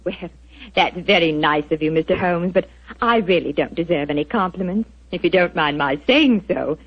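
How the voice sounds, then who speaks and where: An actress playing a gentle, quick-witted wife, modest and polite, in a 1940s radio drama. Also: female voice, lowish voice, breathy edge. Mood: modest, sly. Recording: dull 1940s radio recording.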